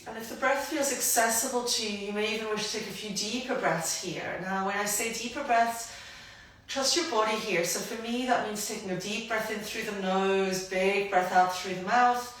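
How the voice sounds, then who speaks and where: A woman guiding a grounding movement practice, gentle and invitational, her voice a little croaky from hay fever. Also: mid-range voice, far from the mic. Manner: gentle, invitational, unhurried guidance. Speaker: somatic practice guide. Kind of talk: guided movement instruction. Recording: roomy echo, voice from afar.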